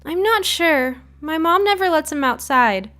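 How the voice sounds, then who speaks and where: A woman's voice: high voice, animated, unhurried, sharp tone, voice pushed up, heavily acted. A woman voicing an earnest, frightened young girl in a narrated spooky story. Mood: worried. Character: young girl, frightened trick-or-treater with a pet cat.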